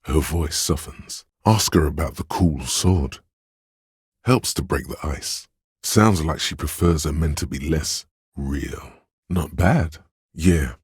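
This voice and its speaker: A man voicing an insinuating inner voice in a video game, narrating in an intimate, coaxing, knowing and measured way, with a very deep, husky tone. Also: darkish tone, no room sound, clean studio sound, full character voice. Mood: sly advising. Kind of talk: scripted second-person game narration.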